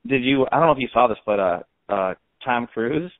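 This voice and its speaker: A man's voice: medium pitch, lively intonation, fairly quick, no room sound. A man hosting an interview by phone in a casual, slangy, conversational way. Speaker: interview host. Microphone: telephone. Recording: tinny, band-limited phone line.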